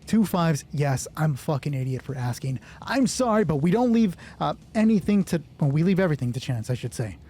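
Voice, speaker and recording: A man narrating a solo tabletop roleplay and thinking aloud in a casual, sweary way, with a husky voice. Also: fairly high pitch, sing-song, a little fast, few pauses, dark tone. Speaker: solo roleplay game master. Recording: background music under the voice. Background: music.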